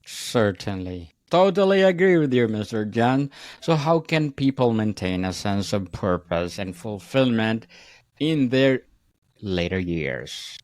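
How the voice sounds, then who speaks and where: A man's voice: lowish voice, sing-song, measured pace, darkish tone, no echo, studio quality. A man hosting a podcast who reads out prepared interview questions and answers with short words of agreement. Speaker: podcast host.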